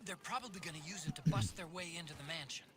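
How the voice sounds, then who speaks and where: A calm male ally talking over the radio in a video game. He lays out the situation in a level, steady briefing tone. His voice sits quiet and distant, under the streamer's talk. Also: on the high side, fast. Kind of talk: game dialogue.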